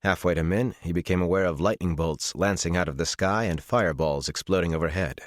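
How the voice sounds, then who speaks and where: A man reading a dramatic fantasy audiobook as its narrator, with a measured, intense and expressive delivery. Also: sits low, no echo, clean studio sound.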